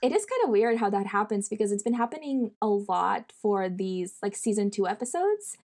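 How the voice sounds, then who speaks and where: A woman's voice: medium pitch, big pitch swings, normal speed, a little mellow. Rambling, casual woman podcast host. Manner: informal, rambling, lots of fillers.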